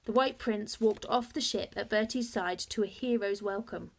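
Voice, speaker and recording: A woman reading a children's storybook aloud as a first-person narrator, in a measured, expressive way. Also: medium pitch.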